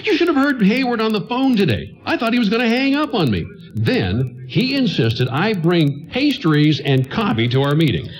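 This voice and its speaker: A man's voice: high voice, very animated, normal speed. An actor playing a harried, good-natured father and producer in a radio play, speaking with theatrical, exasperated emphasis.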